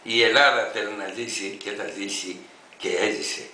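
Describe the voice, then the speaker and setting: Elderly man, very sharp, forceful voice. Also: on the low side, steady tone, roomy sound, slight background noise. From Greece. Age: 80s. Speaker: grandfather reading his protest letter. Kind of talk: read-aloud open letter, oratory.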